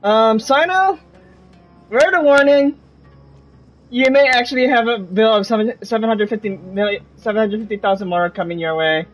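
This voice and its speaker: A man with a fairly high voice streams a game and comments casually as he plays. Game music runs softly behind him. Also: moderate pace, low-quality recording.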